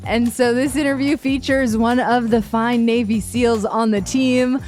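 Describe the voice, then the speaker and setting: A woman's voice: on the high side, steady tone, unhurried, darkish tone. A bubbly woman hosts her interview podcast with an upbeat, chatty energy. She laughs easily and keeps things light, with faint music playing beneath her voice. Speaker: podcast host.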